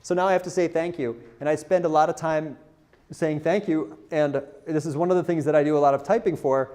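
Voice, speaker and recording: Lively-pitched man giving an informal talk. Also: fairly quick. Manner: candid, self-deprecating, asks the audience questions. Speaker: conference speaker, content marketer. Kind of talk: informal marketing presentation to an audience.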